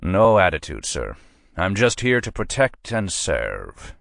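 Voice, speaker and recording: A man voicing a wisecracking young police sergeant in an audiobook, flat and sarcastic. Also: low voice, slow pace, vocal fry, dry recording, clean studio sound, full character voice. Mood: sardonic.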